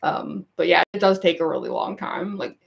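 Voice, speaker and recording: A young woman with a gruff, raspy voice and a goofy laugh, chatting casually with viewers on a livestream, rambling with lots of fillers. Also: lowish voice, expressive, moderate pace. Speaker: cosplay livestreamer.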